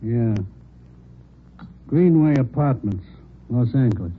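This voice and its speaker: A man's voice: medium pitch, expressive, takes it slowly. An American actor playing a methodical, observant police lab man in a 1940s radio drama, speaking tersely. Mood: absorbed, matter-of-fact. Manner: terse, matter-of-fact. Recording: tinny, noisy 1940s radio recording.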